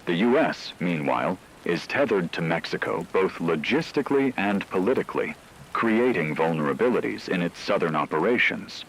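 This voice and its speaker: A man voicing a grave radio war correspondent, reading a scripted news report in a formal, measured way. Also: low voice, lively intonation, fairly bright tone, slight background noise, poor audio.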